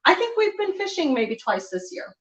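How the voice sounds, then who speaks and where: A woman's voice: very high voice, big pitch swings, normal speed, fairly bright tone. A woman co-hosting a casual home livestream, laughing often as she reads viewer comments aloud. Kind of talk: casual chat. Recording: echoey room, slightly dull sound.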